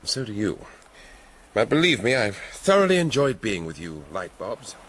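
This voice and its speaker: An actor in a radio drama playing a bluff, kindly British cavalry commander with a hearty military manner. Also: male voice, lowish voice, very animated, moderate pace, fairly bright tone, slight background noise. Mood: regretful, kindly. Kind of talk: BBC radio drama acting.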